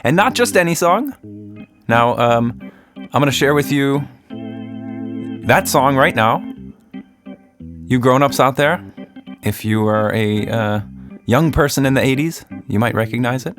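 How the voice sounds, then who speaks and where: A middle-aged man tells stories for children as a podcast host. His delivery is playful and conversational, with widely swinging intonation and a medium pitch. Some guitar music is heard with the voice. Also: animated, unhurried, pauses often. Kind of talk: children's storytelling.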